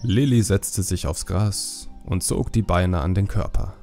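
A man reads a story as an audiobook narrator. His voice is low and creaky, measured and solemn, with a dark warm tone. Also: soft background music.

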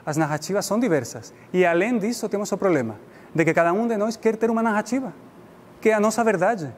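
A man's voice: high-pitched, lively intonation, quick pace. A middle-aged man from Spain with a Spanish accent, giving an informal, explanatory public lecture in a language that is not his native one. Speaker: guest lecturer. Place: indoor lecture room. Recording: reverberant hall with background noise.

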